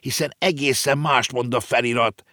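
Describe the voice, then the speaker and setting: A man voicing an eager, curious young boy who talks in excited exclamations in a storybook reading. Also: medium pitch, dry recording, studio quality, pitched above the performer's own voice, natural voice.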